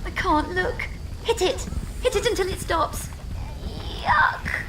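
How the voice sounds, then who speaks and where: Gremlin minion: tiny cartoon game creature, high-pitched, crude, very animated, under loud effects noise. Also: slow pace, full character voice. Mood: excitable. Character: small excitable gremlin-like minion.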